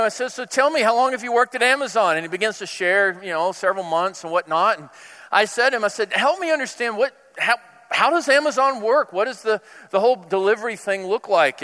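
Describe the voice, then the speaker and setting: Male preacher, conversational storyteller who acts out dialogue; bright, crisp delivery, big reverberant room. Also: sits high. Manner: conversational, animated retelling with acted-out dialogue. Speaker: pastor preaching. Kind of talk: sermon storytelling. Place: large hall, speaking to a congregation. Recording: reverberant hall.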